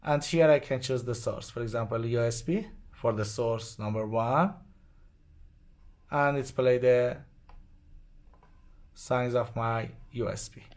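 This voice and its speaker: Halting man demonstrating a device, non-native English. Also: medium pitch, a little mellow. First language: not English. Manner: halting, short simple phrases. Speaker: product demonstrator. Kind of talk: hands-on product tutorial, unscripted step-by-step explanation. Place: indoors at a demo bench with devices.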